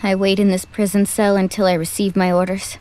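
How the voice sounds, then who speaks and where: A woman's voice: medium pitch, level tone, brisk, darkish tone, close to an everyday voice, rough recording. A woman voicing a withdrawn, resigned young ninja girl in an anime game dub, speaking in clipped, guarded phrases. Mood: cold, resigned.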